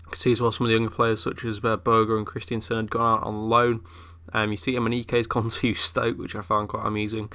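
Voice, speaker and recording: A man's voice: on the low side, monotone, quick pace, sharp tone. A young man who is ill, chatting casually through game commentary with lots of fillers. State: ill, voice a bit off. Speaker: gaming YouTuber.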